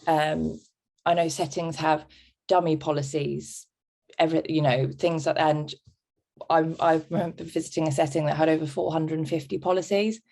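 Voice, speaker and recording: Brief: woman, low gravelly voice, thoughtful webinar presenter. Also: steady tone, warm tone. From England. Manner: reflective, measured, frequent "um". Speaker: guest speaker, childminder.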